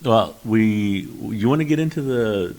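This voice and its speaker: A man's voice: lowish voice, lively intonation, unhurried, darkish tone, a little hiss or hum. An older man with grandkids co-hosting a talk show, wry and needling in his banter. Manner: wry, needling, self-deprecating. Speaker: co-host.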